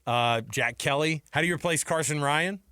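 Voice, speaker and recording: A man's voice: fairly high pitch, moderate pace, sharp tone, clean tone, dry recording, studio quality. An American man co-hosting sports talk radio, chatting casually and bluntly in slang, often tossing out questions. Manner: conversational, opinionated, slangy, throws out questions.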